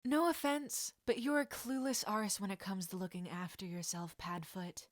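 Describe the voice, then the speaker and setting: A woman's voice: mid-range voice, steady tone, normal speed, no room sound, studio quality, lightly acted. A woman voicing a loyal teenage wizard boy in a story, bantering and protective of his friend. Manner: good-natured banter with concern.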